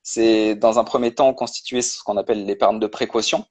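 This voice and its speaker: A man's voice: on the low side, steady tone, brisk, darkish tone. A man, a guest on a live-stream interview, explaining step by step with many hesitations. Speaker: guest, personal finance creator.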